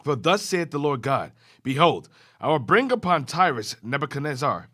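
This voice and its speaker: A man reading scripture aloud in a class, with a steady, formal reading cadence. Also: fairly high pitch, normal speed.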